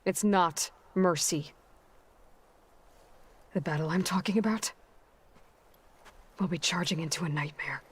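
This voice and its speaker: A woman's voice: on the low side, measured pace, pauses often, husky. A woman playing a determined, guarded young warrior heroine in a video game, with firm, terse, dry delivery. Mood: resolute. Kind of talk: dramatic video game acting.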